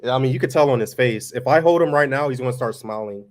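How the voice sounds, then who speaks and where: Monotone man chatting on a vlog. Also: medium pitch, fast talker. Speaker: family farm vlogger, host. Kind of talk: casual vlog chat.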